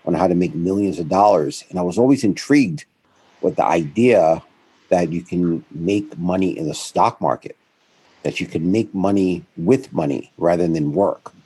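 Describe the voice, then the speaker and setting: Middle-aged man, interview guest; deep husky voice, rambling, self-correcting delivery. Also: normal speed. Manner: rambling, self-correcting, animated when quoting others. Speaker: guest, founder of a financial firm. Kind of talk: conversational interview storytelling.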